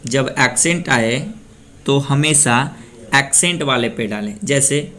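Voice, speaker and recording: A young man from Bihar, India, teaching a pronunciation lesson and repeating each word slowly for practice. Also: mid-range voice, expressive, sharp tone. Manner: slow, repeats words drill-style. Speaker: language teacher.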